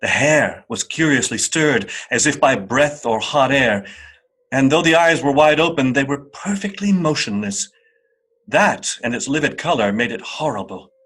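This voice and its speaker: Male narrator for radio drama, mid-pitched and husky, expressive storytelling with deliberate phrasing.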